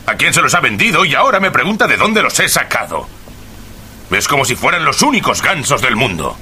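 An actor playing a blunt, stubborn, irritable poultry stallholder in a period mystery drama, gruff and combative in manner. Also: male voice, mid-range voice, sing-song, brisk, bright, forceful tone, slight background noise. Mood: irritated.

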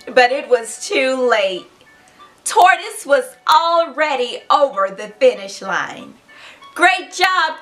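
A woman in her thirties hosting a children's read-aloud, with an expressive, clearly paced, teacherly storybook delivery. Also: sits high. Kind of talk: storybook narration to young children. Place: furnished room indoors.

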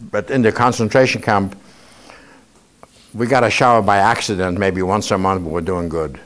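A man's voice: fairly low pitch, fairly even intonation, moderate pace, a bit bright. A man in his seventies, a Holocaust survivor, giving a conversational talk to students and often checking in with them by asking "okay?". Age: 70s. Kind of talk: informal testimony talk to a student audience. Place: indoor museum room.

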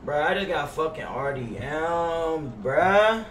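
A man's voice: fairly high pitch, expressive, slow, a bit bright. A young man livestreaming a game, talking in casual, brash, profane slang. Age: young adult. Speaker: game streamer. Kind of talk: casual livestream gaming banter. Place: indoor room at a gaming setup. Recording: roomy, distant sound with faint noise.

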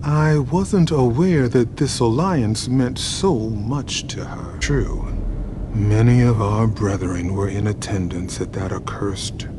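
Slow, courtly, menacing vampire boss. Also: male voice, lowish voice, noisy background. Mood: guarded, measured. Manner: formal, archaic politeness. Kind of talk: dramatic video game acting.

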